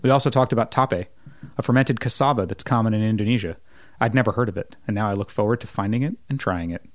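Low-voiced male podcast host. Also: dry recording, studio quality. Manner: measured, reflective, hesitant questions. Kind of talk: scripted intro then casual interview.

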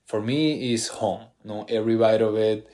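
Low-voiced guy w a peruvian accent, excited chef chatting on a podcast. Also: moderate pace. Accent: Peruvian Spanish. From Peru. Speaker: chef guest. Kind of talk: casual podcast interview.

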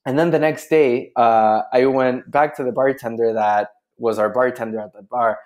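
A man's voice: medium pitch, fairly even intonation, moderate pace, a little mellow. A man from Chile, a guest on a podcast, telling a story in casual chat and laughing often. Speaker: guest, drinks brand founder.

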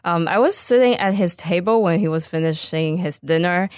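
A woman's voice: on the low side, moderate pace, darkish tone, clean tone, no echo, clean studio sound. A woman from Taiwan with a Taiwanese accent, a native Mandarin speaker, casually explaining her work as an interview guest. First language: Mandarin Chinese. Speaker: startup co-founder, podcast guest.

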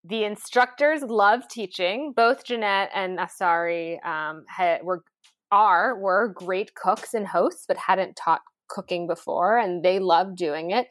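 Lively, chatty woman telling her story. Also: medium pitch, slow pace, dry recording. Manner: animated, lively storytelling with many fillers. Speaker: founder of a cooking school, guest. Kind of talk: conversational interview.

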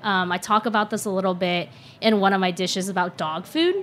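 A woman's voice: mid-range voice, fairly even intonation, brisk, fairly bright tone, a little hiss or hum. A young adult woman chef, a guest in a casual interview, talking earnestly and reflectively, with plenty of "like" and "um". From United States (born in Shanghai). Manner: earnest, reflective, frequent fillers like and um.

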